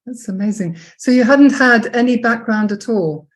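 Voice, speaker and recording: A woman's voice: a little high, moderate pace. A woman hosting an interview in a conversational style, polite and affirming with her guest. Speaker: interviewer, host.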